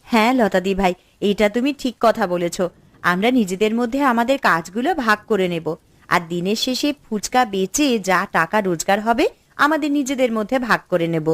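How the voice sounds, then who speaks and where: A woman's voice: medium pitch, lively intonation, a little fast, no echo, close to an everyday voice. A woman playing an agreeable, eager young village sister in a cartoon, deferential to her elder. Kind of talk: Bengali cartoon story acting.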